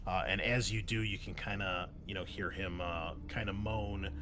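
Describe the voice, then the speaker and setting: A man's voice: deep voice, fairly even intonation, moderate pace, noisy background. A man running a tabletop game as game master, improvising narration in a casual, conversational way.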